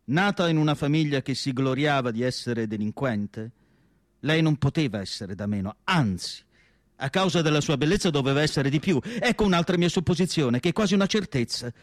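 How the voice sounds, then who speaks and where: A man playing a melancholy asylum doctor in radio theatre, narrating in an emphatic literary monologue with dramatic pauses. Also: fairly high pitch, expressive, dry recording, clean studio sound, natural voice. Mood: grave, intense. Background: music.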